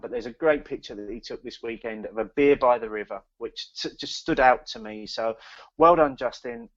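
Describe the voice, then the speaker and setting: A British man co-hosting a casual podcast, talking hesitantly and often repeating his words. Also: on the low side. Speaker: podcast co-host. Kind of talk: casual chat. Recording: slightly dull, lo-fi audio.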